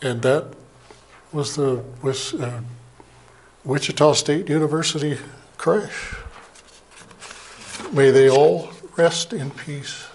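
An older man, an Air Force veteran, giving a plain, halting slide presentation from a lectern in a small museum meeting room, heard from a camera across the room. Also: a little high, steady tone, darkish tone, low-quality recording. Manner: plain, unhurried, halting with fillers. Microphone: far camera, speaking at a lectern.

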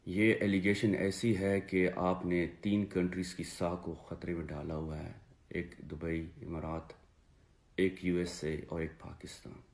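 Low-voiced Pakistani man, earnest appeal. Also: darkish tone. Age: 30s. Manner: earnest, halting, repeats phrases for emphasis. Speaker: father making a personal video appeal. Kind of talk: recorded personal statement to camera. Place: small indoor room, seated before a plain wall.

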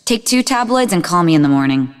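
A sarcastic young woman psychic from a video game. Her pitch swings in a lively way as she teases and needles with a sharp wit. The voice sits in a long reverb. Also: fast. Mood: teasing. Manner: sarcastic, teasing.

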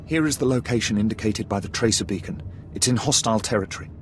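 A man's voice: fairly low pitch, quick pace, faint music behind, lightly acted. An actor playing an anxious male junior officer in a video game, reporting in a formal, deferential way. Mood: formal, worried. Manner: deferential, crisp military reporting. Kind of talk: scripted video game acting.

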